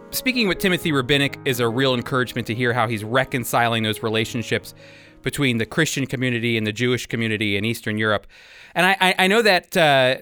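Clear, higher-pitched man hosting religious radio. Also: a bit bright, no echo, clean studio sound. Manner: earnest, exhortative. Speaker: radio program host. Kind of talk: conversational religious radio commentary.

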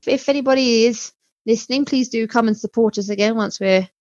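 A woman's voice: on the high side, brisk, darkish tone, no room sound, muffled recording. A woman speaking as an interview guest about her own work in a chatty, informal, self-deprecating way. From outside Mexico, not American. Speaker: interview guest, small restaurant and shop owner. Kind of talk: conversational interview.

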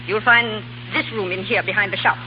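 A woman's voice: mid-range voice, steady tone, fairly quick, natural voice. A woman playing a bossy, scornful old aunt in a 1930s radio drama, blunt and nosy. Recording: dull, hissy 1930s radio recording.

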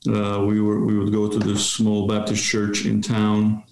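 Man in his 50s from Romania, flat delivery, gravelly, echoey room, measured and hesitant. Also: on the low side. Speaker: seminary professor guest. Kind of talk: interview answer, storytelling.

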